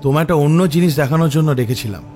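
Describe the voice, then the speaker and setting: Casting: actor as hesitant, uneasy male adventurer, slightly high voice, horror audio story. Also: lively intonation, rapid-fire, a little mellow, faint music behind, natural voice. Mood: intrigued. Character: uneasy male explorer, companion in a dark temple. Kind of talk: dramatic audio story acting.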